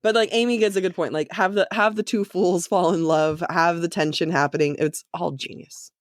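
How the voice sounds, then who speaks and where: An American woman hosting a casual chat, talking like an excitable, gushing fan in very informal phrases. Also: lowish voice, normal speed, no echo, clean studio sound. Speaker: podcast host.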